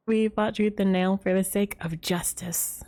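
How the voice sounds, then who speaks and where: A woman's voice: mid-range voice, dark tone. A woman livestreaming a game and chatting casually with viewers in a rambling, giggly way. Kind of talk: casual chat with stream viewers. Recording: game music behind the voice.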